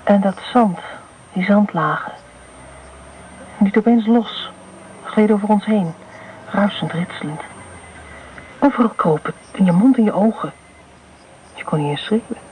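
An actress playing a fearful, earnest woman recalling childhood terror in a radio play, speaking quietly and intensely. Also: medium pitch, measured pace, long pauses, slight background noise, natural voice, rough recording. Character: fearful woman recalling being buried in sand.

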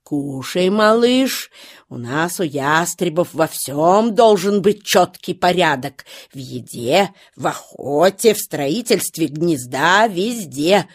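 A woman's voice: lowish voice, lively intonation, takes it slowly. A woman voicing a strict, orderly mother hawk who fusses over and lectures her chicks in a children's audio play. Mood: coaxing, doting. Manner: bossy, didactic, fussing tenderly over children.

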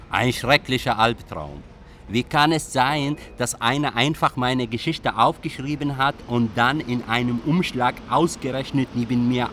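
An actor playing a brooding young Iraqi exile and writer, narrating a literary radio drama in an introspective, deliberate way. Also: male voice, medium pitch, fairly bright tone, slight background noise.

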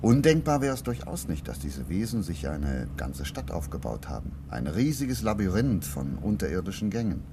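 A thoughtful expert investigator in a radio drama, with a low voice that moves freely up and down. He is courteous and probing, hesitating as he thinks. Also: big pitch swings, moderate pace, hardly any pauses, background noise. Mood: musing, speculative. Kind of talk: German radio play acting.

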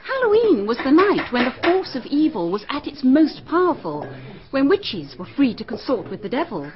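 A firm schoolteacher who lectures about old customs in a fairly high voice that swings widely in pitch. It is a muffled old radio drama recording. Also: female voice, very animated, normal speed. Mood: lecturing. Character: stern female schoolteacher versed in folklore. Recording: dull archive radio recording.

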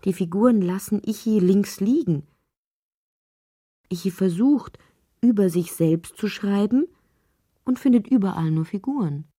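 A woman playing a whimsical narrator in a literary radio play, with a husky voice. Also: medium pitch, measured pace, long pauses, mellow, dry recording. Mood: musing, measured.

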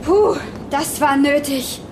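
A woman's voice: high voice, slow, very noisy. An actress playing a blunt, impulsive young woman in a radio drama, whiny, slangy and childishly defiant. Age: young adult. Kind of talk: naturalistic radio drama acting.

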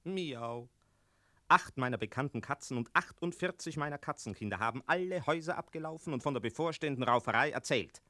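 A bold, gossipy cat in a radio play. The voice is sharp and very animated, sliding up and down in a meowing drawl, recorded dry and close. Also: male voice, medium pitch, no room sound. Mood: proud announcing. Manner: meowing, drawn-out, swooping delivery. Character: cheeky talking tomcat, bold and gossipy. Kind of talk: German radio play acting.

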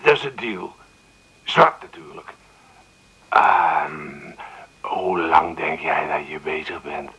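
Sleazy pushy phone creep, low pitched and forceful, raspy, cheeky radio drama type. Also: male voice, heavily acted, rough recording. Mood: sly, pushy. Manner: teasing, over-familiar, halting. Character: leering crude male obscene phone caller, cheeky and pushy. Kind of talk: radio play dialogue.

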